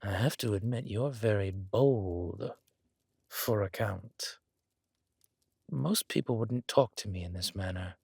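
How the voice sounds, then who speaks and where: A deep, gravelly villain king acted by a man for an audio roleplay. He speaks quietly and deliberately, with a weary dry wit and understated menace. The sound is intimate, with no room echo. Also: heavily acted. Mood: dryly restrained.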